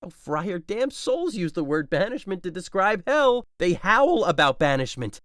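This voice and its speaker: A man's voice: high voice, big pitch swings, measured pace, a bit bright, dry recording, clean studio sound, exaggerated voice. A man playing a lovesick, despairing young man in a modern-language Shakespeare audio drama, melodramatic and anguished. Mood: anguished, indignant.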